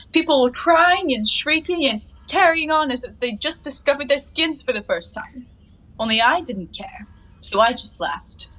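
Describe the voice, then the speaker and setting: A woman's voice: fairly high pitch, fairly bright tone, poor audio. A woman acting a playful, unabashed young 1920s woman in a stage comedy, with a coy, theatrical delivery. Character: breezy, playful, unabashed flapper-era young woman in her bath.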